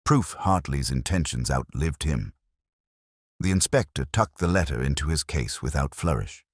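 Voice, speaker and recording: A man narrating a scripted mystery story in a measured, literary manner. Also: extremely low pitch, lively intonation, dry recording, clean studio sound.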